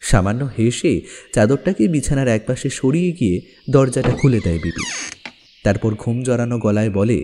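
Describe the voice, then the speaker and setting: A man's voice: mid-range voice, animated, normal speed. A man narrating a scripted ghost story in a dramatic, suspenseful manner. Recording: long reverb over background music. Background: music.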